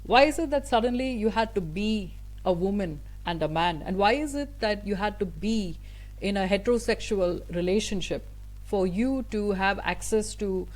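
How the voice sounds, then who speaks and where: A woman's voice: mid-range voice, a little mellow, rough recording. A woman whose first language is Tamil, a guest speaker answering an interview at length in an earnest, thoughtful way, with frequent fillers and restarts.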